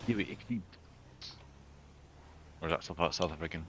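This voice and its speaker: A man giving quiet, brief replies in a casual chat with friends during an online game. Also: low voice, normal speed, breathy edge, no room sound.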